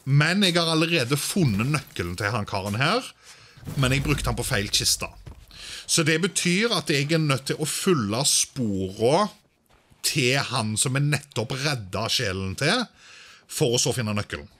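A man's voice: medium pitch, expressive, sharp tone. A man doing casual let's-play commentary, thinking aloud and sprinkling in English exclamations. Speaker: gaming video host.

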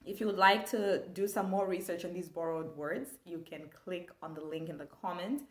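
A woman's voice: on the low side, normal speed, reverberant. A young woman from Zambia, teaching an online language lesson with enthusiasm, gesturing as she talks. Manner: animated, gestures a lot, enthusiastic. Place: small room indoors.

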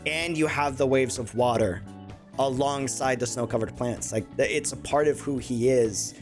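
A young adult man giving excited, slangy, effusive casual reaction commentary on a video game at his desk. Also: mid-range voice, moderate pace. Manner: effusive, slangy, uses bro and dude. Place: small indoor room at a desk. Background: game trailer music.

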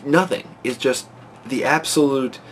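A young man in his twenties, congested from a cold, rambling anxiously and excitedly with many fillers in a casual to-camera vlog, recorded on a close webcam in a small bedroom at night. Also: medium pitch, measured pace, a little hiss or hum. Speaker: vlogger. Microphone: webcam at close range.

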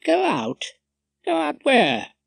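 A man's voice: on the high side, big pitch swings, normal speed, no echo. A man voicing a wry, irreverent older male barrister in an audiobook, dry and droll. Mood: puzzled.

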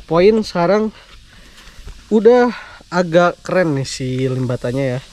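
A young man with a Sundanese accent, chatting casually to viewers while fishing outdoors, slangy and quick to laugh. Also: a little high, expressive, darkish tone. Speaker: fishing vlogger. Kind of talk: casual vlog narration. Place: outdoors by a pond in rural greenery.

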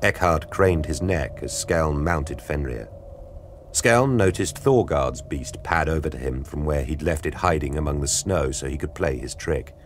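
A man narrating an audio drama in a grave, measured storytelling style. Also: very deep voice, lively intonation, slight background noise. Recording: studio narration over music. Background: dramatic music.

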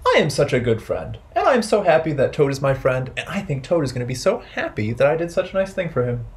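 A man's voice: fairly high pitch, big pitch swings, fast talker. A man voicing a kindly, earnest storybook frog or toad who talks to himself as a good friend. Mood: pleased. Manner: earnest, self-addressing, storybook delivery.

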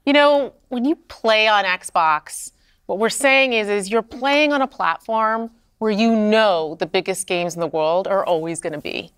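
A woman's voice: measured pace, a bit bright, smooth, no echo, clean studio sound. A woman executive giving confident, polished answers on a podcast set. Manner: polished, confident pitch-like delivery. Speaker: gaming company executive. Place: studio set.

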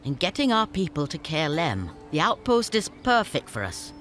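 An actress playing a shrewd female scavenger leader in a fantasy game, brisk and businesslike with a teasing edge. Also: sits low, very animated, fairly bright tone. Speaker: game character. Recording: game audio with faint music.

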